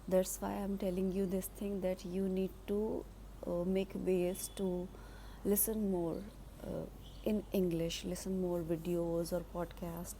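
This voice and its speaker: A slow-spoken woman in her thirties with an Indian accent gives an off-the-cuff English lesson, pausing often with fillers and restarting her sentences. Her voice is mellow and relaxed. A faint hiss of background noise sits under the recording. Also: medium pitch. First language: Hindi. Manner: halting, many fillers and restarts. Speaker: English teacher.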